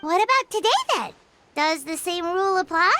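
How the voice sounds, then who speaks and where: A woman's voice: very high voice, normal speed, background noise. A woman voicing a tiny, childlike fairy companion in a video game, perky, cutesy and inquisitive. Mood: eager, curious. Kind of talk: video game character acting.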